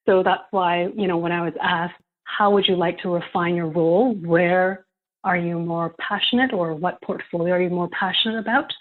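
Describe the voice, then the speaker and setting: A young adult woman from Canada, a guest answering interview questions in a measured, explanatory way. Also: on the low side. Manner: measured, explanatory, occasional soft laugh. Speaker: guest, solo prospect researcher. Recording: tinny phone-like line.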